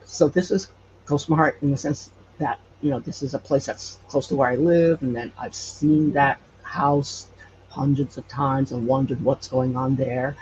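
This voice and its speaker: A man telling personal stories conversationally as a podcast guest, hesitant and often pausing mid-phrase. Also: medium pitch, darkish tone. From Hawaii. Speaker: podcast guest, paranormal storyteller and investigator. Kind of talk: conversational personal storytelling in an interview. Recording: muffled lo-fi with faint background noise.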